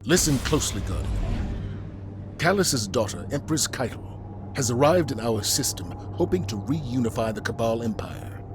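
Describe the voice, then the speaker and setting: An actor playing a stern, weary, principled military commander in a game, speaking in a grave, formal, measured way. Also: male voice, medium pitch, very animated. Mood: urgent. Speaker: commander giving briefings. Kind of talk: dramatic game acting. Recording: cinematic music underneath.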